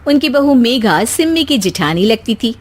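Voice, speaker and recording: A woman narrator reads a scripted moral tale for animation. Her voice sits fairly high and moves in very lively swings of pitch. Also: normal speed.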